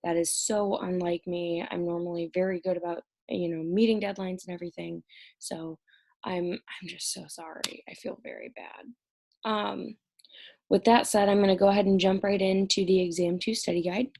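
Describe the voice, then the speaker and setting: A woman's voice: on the low side, steady tone, moderate pace, gravelly, a little mellow. A young woman recording an exam review lecture at home, explaining each topic conversationally while sounding stressed and short on sleep. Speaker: college instructor.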